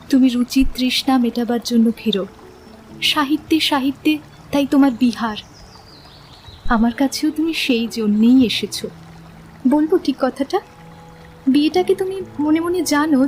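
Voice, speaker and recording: A woman playing a gentle, earnest young woman in a romantic audio drama, speaking softly and candidly about her doubts in love. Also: high-pitched, lightly acted. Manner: soft-toned, sincere, measured. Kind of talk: Bengali literary audio drama acting.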